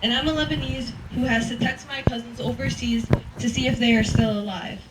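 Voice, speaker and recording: A young American woman delivers a prepared public statement. Her voice is fairly high, heard from a distance in an echoey room with faint noise. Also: fairly even intonation, brisk. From United States. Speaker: voter speaking at press conference.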